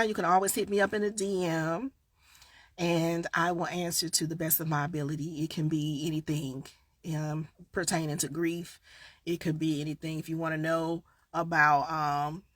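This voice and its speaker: A woman with a Southern accent, chatting casually on a live stream as a grief coach, a little tired, swinging from loud bursts to quiet asides. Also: on the low side, no echo, studio quality. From Southern United States. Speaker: grief coach and podcast host. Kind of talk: casual live-stream chat answering viewers.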